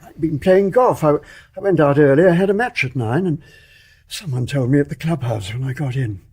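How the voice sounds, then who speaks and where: Flustered, husky older English major. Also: male voice, on the high side, animated, darkish tone. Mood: shocked, kindly. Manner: halting, stammering repetitions. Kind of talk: stage-play radio drama acting.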